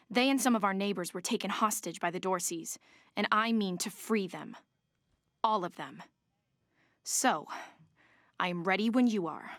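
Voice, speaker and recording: A woman's voice: mid-range voice, fairly bright tone, dry recording, studio quality, close to an everyday voice. An actress voicing a haughty, determined young woman of a rich family in a video game, formal and self-assured.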